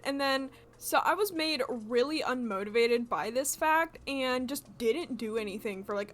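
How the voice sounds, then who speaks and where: A young woman with a congested voice, chatting casually and excitedly as she builds in a video game. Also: sits high. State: congested from allergies. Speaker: gaming video creator. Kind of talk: casual gameplay commentary. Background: soft game music.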